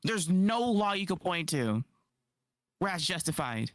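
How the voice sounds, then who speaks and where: A man doing casual livestream reaction commentary, incredulous and quick to rebut, on a close mic. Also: high-pitched, no room sound. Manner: animated, incredulous, rapid rebuttals.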